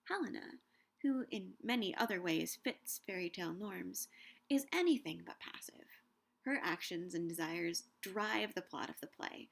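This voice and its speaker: A young woman giving a scripted, measured talk on Shakespeare and books, slowing down to savor quoted lines. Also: mid-range voice, vocal fry. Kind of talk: scripted educational narration.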